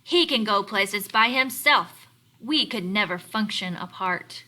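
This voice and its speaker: A woman's voice: mid-range voice, lively intonation, unhurried, sharp tone. A woman voicing envious kitchen utensils from a storybook, plaintive and emphatic. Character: storybook kitchen utensils envying their carefree friend.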